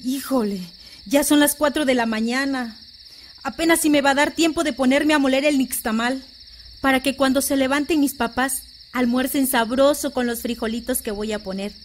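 A woman's voice: sits high, fairly even intonation, moderate pace, slight background noise, lightly acted. A woman playing a sweet, dutiful, hard-working young village daughter with a Mexican accent in an audio drama. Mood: busy, resigned. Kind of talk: audio fiction acting.

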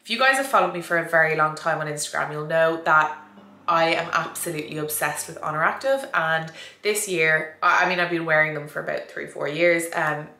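A woman's voice: low-pitched, brisk, bright, forceful tone, reverberant. A young woman vlogger and fitness coach chatting to camera, nasal and bunged up with a cold, repeating words for emphasis. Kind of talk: casual vlog chat to camera. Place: small indoor room with a door behind.

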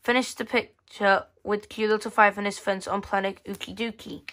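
Bright-voiced casual female magazine commentator. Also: medium pitch, fairly even intonation, normal speed. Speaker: host of a magazine flip-through video. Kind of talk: casual commentary, reading page text aloud. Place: indoors at a tabletop.

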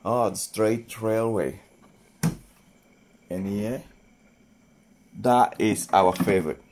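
A man with a fairly low voice talks very slowly and casually to young viewers about his wooden train set. He is recorded on a phone in an indoor playroom, with faint background hiss and toys clicking as he handles them. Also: a little mellow. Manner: slow, unhurried, talking to children viewers. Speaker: toy train channel host. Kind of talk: casual toy showcase narration. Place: indoor playroom with shelves and toy train table.

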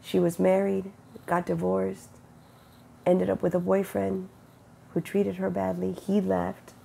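A middle-aged woman with a cold, sniffling and rough-voiced, giving an earnest, unhurried spiritual testimony into an earbud mic in her bedroom. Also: medium pitch, monotone, mellow, a little hiss or hum. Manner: earnest, unhurried, quotes God in conversation. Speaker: faith vlogger. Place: bedroom with bright window, indoors. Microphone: wired earbud mic, webcam close-up.

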